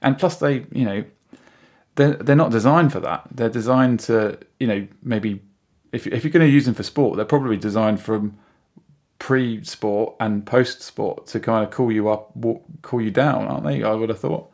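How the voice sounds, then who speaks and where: A man's voice: medium pitch, moderate pace, gravelly, a little mellow. A British man of about forty, a podcast guest chatting casually in a rambling way. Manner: rambling, frequent "you know". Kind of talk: casual chat.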